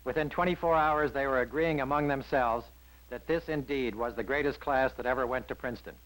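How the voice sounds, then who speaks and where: A man's voice: mid-range voice, a little fast, a bit bright, poor audio. An American man reading scripted newsreel narration from around 1960 in a polished, wry manner.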